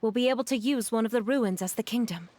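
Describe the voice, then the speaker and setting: A gentle mother character from a video game, acted by a woman, with a somewhat high voice. She is sorrowful yet resolute and speaks with measured, earnest care. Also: no echo, lightly acted.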